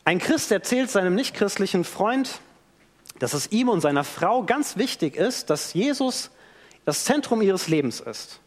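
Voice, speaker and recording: Lively high-voiced man preaching in a reverberant hall. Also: a little slow. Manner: animated storytelling with acted-out quotes. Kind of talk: sermon. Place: church hall. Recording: long church hall reverb.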